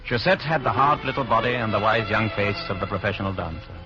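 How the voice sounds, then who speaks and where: A man's voice: lowish voice, fast, lightly acted. An actor playing a wary, tense Englishman on the run in an old-time radio suspense drama. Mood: brooding. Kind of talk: 1940s radio acting with first-person suspense narration. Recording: muffled old radio recording with music.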